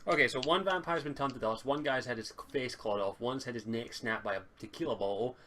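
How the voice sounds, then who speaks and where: A man with a Scottish accent casually narrating as game master, speaking informally and haltingly over a voice chat mic. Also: medium pitch, pushed and bright. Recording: home mic with faint noise and clicks.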